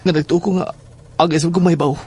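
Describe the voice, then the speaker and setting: A man's voice: a little high, fairly even intonation, quick pace, darkish tone, a little hiss or hum, natural voice. A man playing a serious, insistent schoolboy in an audio drama. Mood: earnest, insistent. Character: serious schoolboy eager to uncover family secrets. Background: music.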